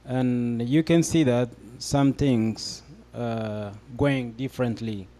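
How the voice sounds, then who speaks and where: Slow, hesitant man from Ethiopia. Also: medium pitch, fairly even intonation, mellow. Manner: hesitant, many fillers and restarts. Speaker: panel guest. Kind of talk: panel discussion answer.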